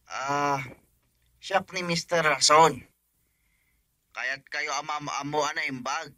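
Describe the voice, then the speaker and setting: A man playing a cheeky stranger in a rural radio comedy. He is insistent and teasing, with a bright, forceful, slightly high voice recorded dry. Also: fairly even intonation, slow pace, no room sound. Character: pushy, cheeky male stranger making a phone call.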